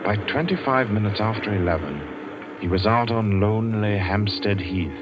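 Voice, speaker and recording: A man narrating a suspense radio drama in a measured, deliberate old-time storytelling style. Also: fairly low pitch, animated, noisy background. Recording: dull vintage radio recording with music.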